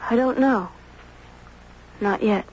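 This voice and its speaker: A woman's voice: a little high, slow pace, darkish tone. An actress playing a guileless, intuitive young woman who is unsure about love, matter-of-fact in a radio drama. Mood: hesitant, guileless. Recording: dull, lightly noisy vintage radio recording.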